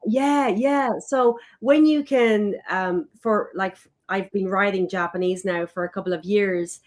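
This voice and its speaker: A woman's voice: medium pitch, measured pace. A woman in a casual chat, speaking enthusiastically and rephrasing as she goes.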